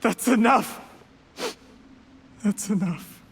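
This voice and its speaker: A man's voice: high voice, slow, gravelly, a bit bright, in a large hall, a little hiss or hum, heavily acted. An actor playing an ambitious young male schemer in a video game, formal and self-justifying in the way he talks. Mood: anguished. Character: scheming, ambitious young man, polite schemer turning desperate.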